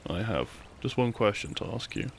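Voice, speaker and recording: A man playing a cheeky, tender and protective young bandit outlaw in an intimate romantic audio roleplay, speaking in a gentle, courtly, teasing way. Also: fairly low pitch, lively intonation, moderate pace, a little hiss or hum. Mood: tender, hopeful.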